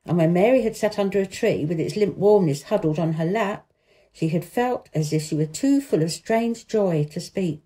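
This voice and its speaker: A woman's voice: lowish voice, normal speed, mellow. A woman reading a children's novel aloud as a storybook narrator.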